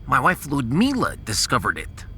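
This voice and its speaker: A man's voice: mid-range voice, wildly swooping pitch, normal speed, sharp tone, slight background noise. A man playing a proud, pedantic scientist in a science fiction audio drama, long-winded and dropping his articles. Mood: earnest, devoted.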